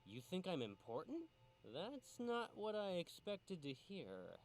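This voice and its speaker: A man's voice: high-pitched, wildly swooping pitch, a little slow, faint music behind, pitched above the performer's own voice, full character voice. A man voicing a weary, defiant captive rebel, an anthropomorphic character in a visual novel, speaking warily. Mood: surprised, wary.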